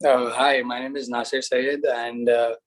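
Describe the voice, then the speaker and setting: Young male student athlete from India, average pitch, casual delivery on a panel. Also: level tone, unhurried, low-quality recording. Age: young adult.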